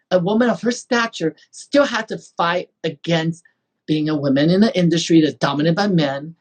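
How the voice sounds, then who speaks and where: A man's voice: high-pitched, husky, low-quality recording. A man hosting a talk show, effusive and rambling, with many restarts. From Taiwan. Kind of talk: casual interview chat.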